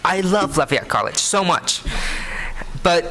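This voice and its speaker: A man in his early twenties with a Vietnamese accent, giving an earnest prepared speech to an audience, phrasing deliberately. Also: high-pitched, animated, bright, forceful tone, slight background noise, low-quality recording. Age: early 20s. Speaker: scholarship program student speaker. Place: live benefit event before an audience.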